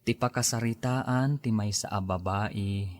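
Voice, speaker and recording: Man playing a slow, low-voiced older husband. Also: darkish tone, natural voice. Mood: calm, absorbed. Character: older husband, unhurried, reading the newspaper aloud. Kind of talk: radio drama acting.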